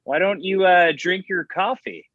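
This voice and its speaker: A man playing a sly, casual friend in a comedy table read, halting in delivery, on slightly dull audio. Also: high voice, a bit bright. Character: sly, casual male friend secretly poisoning his rival.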